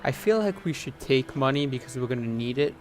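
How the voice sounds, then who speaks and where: A man's voice: medium pitch, expressive, a little fast, slight background noise. A man giving casual commentary as he plays a video game, sometimes reading on-screen text aloud. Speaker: gaming video host. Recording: voice over loud game music. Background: game music and horse sounds.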